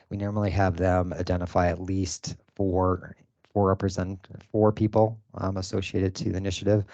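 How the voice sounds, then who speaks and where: A man giving a conference talk on his design process in a relaxed way, with many fillers. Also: low voice, no echo, muffled recording. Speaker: design presenter and event host. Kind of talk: conference presentation. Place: event venue.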